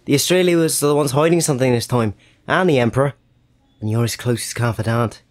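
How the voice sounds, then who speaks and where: A man plays a secret agent in a sci-fi audio drama. He is mock-polite and coolly threatening, with a mid-pitched voice in a dry recording. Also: a little fast, no echo, close to an everyday voice. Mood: quietly threatening. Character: smooth, coolly insolent male secret agent. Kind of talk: science fiction audio drama acting.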